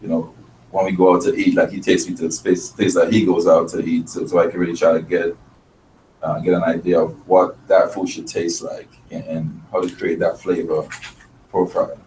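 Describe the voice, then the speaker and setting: Low monotone guy from the caribbean, earnest chef interview, echoey room, faint hiss. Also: normal speed. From Grenada and Trinidad. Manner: earnest, thoughtful, many restarts. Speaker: chef and restaurant owner. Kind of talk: casual interview talk. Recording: roomy, distant mic, faint noise.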